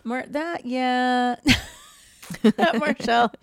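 Thirties woman, slightly raspy, under the weather, animated and giggly co-host; dry, close sound. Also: high voice, extremely slow, no echo. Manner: laughs often, animated storytelling. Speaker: podcast co-host. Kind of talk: casual chat.

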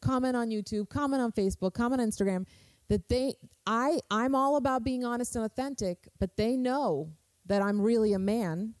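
A woman's voice: on the high side, moderate pace, a little mellow. A woman in her forties from Croatia with a Croatian accent, chatting candidly on a podcast and laughing at herself. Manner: candid, laughing, self-deprecating. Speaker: podcast guest, social media worker. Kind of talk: casual podcast chat.

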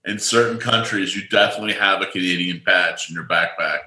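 A man co-hosting a casual chat who tells relaxed anecdotes. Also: low-pitched, a little fast. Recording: roomy echoey mic.

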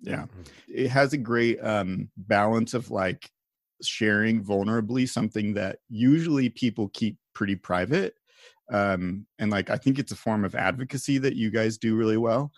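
A man's voice: on the low side, moderate pace, no room sound, clean studio sound. A man hosting a podcast as a pastor, chatting casually, laughing often and speaking loosely with fillers. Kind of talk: casual chat. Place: remote call.

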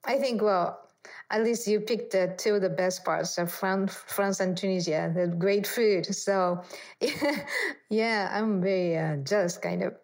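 A woman hosts a podcast interview in a friendly, polite way. Her voice sits at a middle pitch, and her phrasing is slightly non-native. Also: measured pace. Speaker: host.

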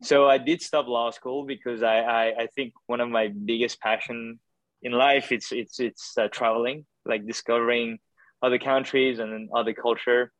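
A man's voice: mid-range voice, fairly even intonation, moderate pace, fairly bright tone, no room sound, low-quality recording. A man, a doctoral student answering interview questions in a hesitant way, full of fillers and restarts. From France. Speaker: podcast guest, doctoral student.

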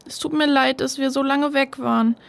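Brave big sister, very high voice, earnest and caring, children's radio play. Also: female voice, fairly even intonation, normal speed, gravelly. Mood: apologetic. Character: caring, brave, devout older sister, a girl. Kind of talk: children's audio drama acting.

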